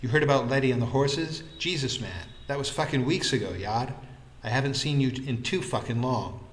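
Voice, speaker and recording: A man voicing an exuberant, warm-hearted young man in an audiobook, excitable and slangy. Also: mid-range voice, fairly quick, reverberant, big-room sound, a little hiss or hum, natural voice. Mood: excited, friendly.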